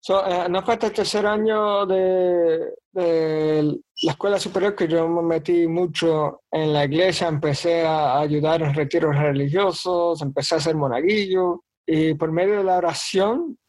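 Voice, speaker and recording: A man in his thirties, a priest, telling his faith story in an interview with lively, joking anecdotes. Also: fairly high pitch, a little slow, low-quality recording. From Bronx, New York. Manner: animated storyteller, jokes and acts out quotes. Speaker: diocesan priest.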